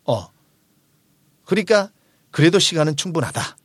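A man's voice: a little high, expressive, normal speed, slightly rough, no echo, clean studio sound. A man in his forties hosting a book discussion, explaining ideas conversationally and emphatically. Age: 40s. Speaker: host of a book discussion show; restaurant owner.

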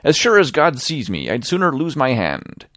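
A man voicing an honest male sailor who speaks hoarse, shaky and raised. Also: mid-range voice, wildly swooping pitch, normal speed, sharp tone, no room sound, studio quality, voice pushed up. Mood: pleading. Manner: raised, pleading then defiant.

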